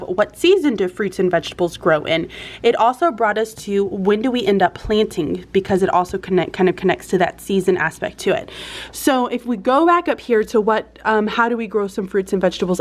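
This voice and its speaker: A young woman teacher talking casually to her handheld camera in a classroom, upbeat and chatty, her voice a bit stuffy from sinuses. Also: mid-range voice. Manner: chatty, upbeat, says "y'all" and "like" often. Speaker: elementary school teacher. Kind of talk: casual vlog talk to camera. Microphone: handheld camera, no tripod.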